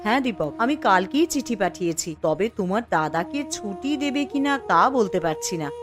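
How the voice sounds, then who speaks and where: A woman voicing a polite, dutiful sister-in-law in cartoon dialogue, speaking in a gentle manner. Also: mid-range voice, expressive, normal speed, music in the background, natural voice. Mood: concerned, polite.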